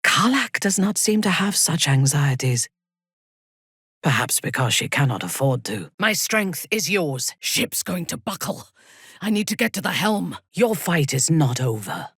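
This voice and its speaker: A woman playing a ruthless, bloodthirsty warrior commander in a video game, with a husky, breathy voice and haughty, formal, biting delivery. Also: low voice, sing-song, a bit bright, no room sound, studio quality, full character voice. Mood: commanding. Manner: haughty, measured, formal diction with biting disdain. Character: ruthless, imperious female warrior and cult commander, bloodthirsty, darkly amused. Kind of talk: video game character dialogue.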